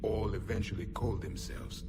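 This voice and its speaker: A man plays a proud ancient alien warrior with a very deep voice, grave and commanding, his words often sinking into a cold half-whisper. He sounds set back from the mic under loud game music. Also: fairly even intonation, normal speed, recorded from a distance, lightly acted. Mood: grim. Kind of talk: video game cutscene dialogue.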